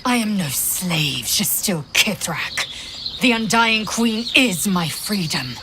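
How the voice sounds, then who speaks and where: A woman's voice: mid-range voice, lively intonation, takes it slowly, background noise. A woman playing a proud, fierce githyanki warrior in a fantasy game, haughty, blunt and formal in her clipped speech. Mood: defiant, shaken. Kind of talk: dramatic fantasy game acting.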